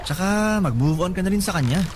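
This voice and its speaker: Fast-talking insecure young Filipino guy. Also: high-pitched, animated. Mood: gentle, awkward. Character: insecure young man, self-deprecating, loyal secretly smitten friend. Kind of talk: Filipino radio drama acting. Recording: loud music bed behind the voice.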